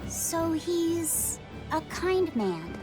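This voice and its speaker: An actress voicing a gentle, curious and earnest young innocent in a video game, with a hesitant, simple way of asking. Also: female voice, very high voice, very animated, very slow, exaggerated voice. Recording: game music behind the voice.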